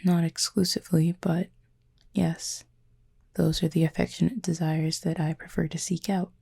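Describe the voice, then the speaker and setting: A woman playing a gentle, formal, wounded cupid in an intimate audio roleplay, speaking softly in measured, breathy phrases. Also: lowish voice, little pitch movement. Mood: calm, explanatory. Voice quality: husky, breathy, creaky. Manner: measured, formal, soft-spoken with breathy pauses.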